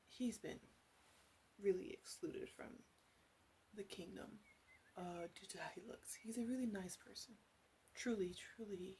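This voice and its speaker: Soft teasing witch, played by a woman, noisy recording. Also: mid-range voice, natural voice. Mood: gentle, reassuring. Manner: soft-spoken, teasing, halting, partly whispered. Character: flirty teasing female witch tending a tipsy guest. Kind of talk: ASMR roleplay.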